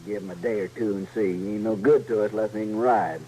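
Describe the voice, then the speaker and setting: An actor with a rustic American accent playing a sadistic, mock-polite Old West outlaw leader in a radio western. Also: male voice, lowish voice, steady tone, fast, warm tone, close to an everyday voice. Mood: threatening. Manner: folksy, sneering, mock-courteous. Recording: noisy vintage radio recording with hum.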